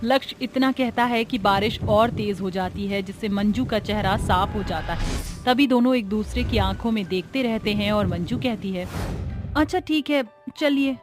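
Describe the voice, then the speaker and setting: A woman's voice: mid-range voice, normal speed, music in the background. A woman reading scripted narration for a cartoon story.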